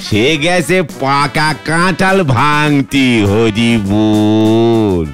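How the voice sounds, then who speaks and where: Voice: medium pitch, extremely slow, over music. An actor voicing a village rhymer who intones comic folk verse in a cartoon. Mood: droll.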